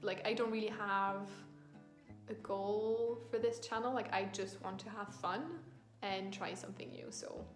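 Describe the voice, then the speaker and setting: A woman's voice: mid-range voice, fairly even intonation, unhurried. Hesitant French-accented woman in an echoey room. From France. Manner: thoughtful, hesitant, many ums and restarts. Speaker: book vlogger. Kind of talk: casual tag-video chat. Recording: echoey room with faint music.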